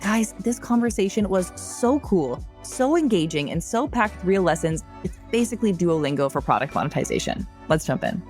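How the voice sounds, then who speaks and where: A woman's voice: lowish voice, big pitch swings, moderate pace, hardly any pauses, a little mellow, faint music behind. A woman hosting a podcast, upbeat and enthusiastic as she introduces a guest and asks questions. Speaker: podcast host. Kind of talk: scripted intro then interview questions.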